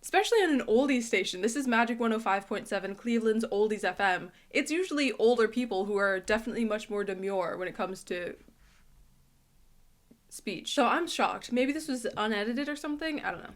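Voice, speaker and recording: A woman's voice: a little high, expressive, fairly quick. Chatty woman reacting casually. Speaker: reaction video host.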